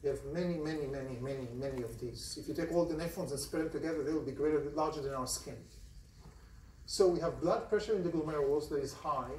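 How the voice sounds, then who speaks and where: A man's voice: on the high side, quick pace, darkish tone, slight background noise. A man with an Israeli accent giving a university lecture to a large class, talking conversationally and often putting questions to the students. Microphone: phone recording from the audience seats. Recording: distant, roomy lecture hall recording.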